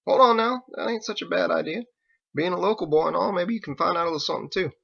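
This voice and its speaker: A man voicing a folksy, wary, gruffly protective sailor leader in an audiobook, talking in down-home slang. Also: high-pitched, quick pace, sharp tone, highs cut off, pitched above the performer's own voice, heavily acted. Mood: skeptical.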